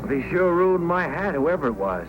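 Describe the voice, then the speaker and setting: An actor playing a flustered, hapless, plaintive photographer in old-time radio style. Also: male voice, fairly high pitch, very animated, moderate pace, muffled recording. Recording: noisy old radio recording.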